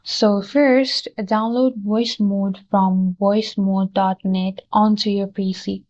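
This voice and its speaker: A woman's voice: mid-range voice, warm tone. A woman narrating a scripted how-to tutorial as its host. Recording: dull, lo-fi voice track.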